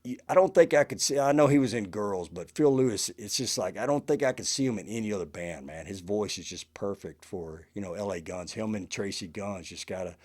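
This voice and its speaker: A man's voice: on the low side, lively intonation, a little fast, hardly any pauses, darkish tone. A man talking casually to camera as the host of a collection show, in a laid-back, conversational way with "man" and "you know" sprinkled in.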